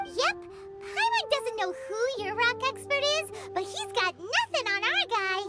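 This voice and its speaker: Tiny bratty fairy, super high squeaky voice, cheeky, loud game music under it. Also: female voice, measured pace, full character voice. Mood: chirpy, cheeky. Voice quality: squeaky, childlike. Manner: cheeky, excitable, talks about herself in third person. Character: tiny chirpy fairy companion, bratty and boastful. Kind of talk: video game voice acting.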